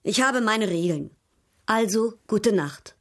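An actress playing a worldly, haughty European baroness in a German radio drama, formal, poised and coolly ironic. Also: female voice, medium pitch, animated, slow, a little hiss or hum. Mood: haughty disdain.

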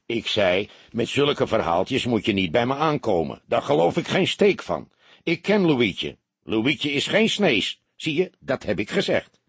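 Man as a wry teasing detective, husky voice. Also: mid-range voice, big pitch swings, moderate pace, darkish tone, no echo, pitched above the performer's own voice. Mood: mock-sincere. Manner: teasing, mock-sincere. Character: wry, unflappable male police detective, heavyset, teasing.

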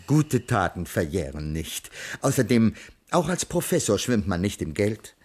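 An actor playing a pedantic, earnest middle-aged ethics teacher with formal, stilted diction in a radio crime play. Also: male voice, lowish voice, big pitch swings, unhurried, a little mellow, husky, heavily acted. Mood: earnest, dignified. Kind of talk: 1980s German radio crime play acting.

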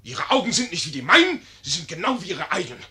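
An actor playing a foolish, indignant young lieutenant with a blustering, overblown manner in a 1950s German radio play. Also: male voice, a little high, huge pitch swings, fast talker, pushed and bright, heavily acted. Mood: wounded, pompous.

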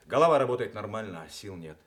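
An actor playing an ailing, middle-aged war-veteran commander in a Soviet radio play, sighing, plain-spoken and courteous. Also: male voice, on the low side, lively intonation, fast, natural voice. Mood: weary, resigned.

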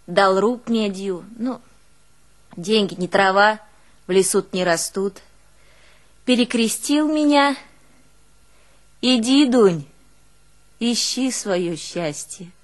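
A woman's voice: medium pitch, close to an everyday voice. An actress playing an old peasant woman reminiscing in a folksy, emotive monologue, with a northern Russian village dialect. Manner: folksy, emotive, with sighing exclamations and wailing quotes. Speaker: stage actress in a one-woman play. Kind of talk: dramatic monologue, folksy storytelling.

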